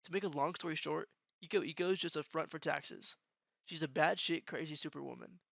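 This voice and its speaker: A man playing a matter-of-fact young student gofer in a gang, narrating in the first person in a deliberate, slangy way for an anime-style fan dub. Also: fairly high pitch, creaky, fairly bright tone, no echo, studio quality. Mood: wry. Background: music with guitar.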